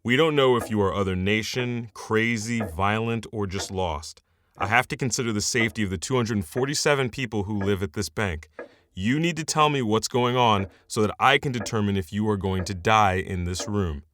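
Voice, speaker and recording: An actor playing a wary, commanding leader of a post-war community in an audio drama, speaking in a measured, blunt way. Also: male voice, on the low side, fairly bright tone, slight background noise, lightly acted. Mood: stern, probing.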